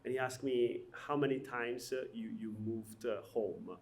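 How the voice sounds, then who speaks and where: A man hosts a relaxed founder chat. He talks in halting bursts full of "uh" and "you know", and laughs easily through his stories. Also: medium pitch, a little hiss or hum. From Albania. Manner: hesitant, many fillers, laughs often while telling stories. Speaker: podcast host. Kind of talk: casual conversational interview.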